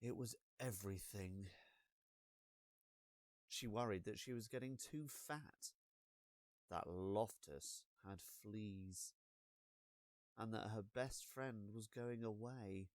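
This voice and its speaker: A man with a fairly low voice tells a children's story in a dry, close recording. He reads very slowly and expressively, drawing out words and leaving dramatic pauses for young listeners. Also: darkish tone, dry recording.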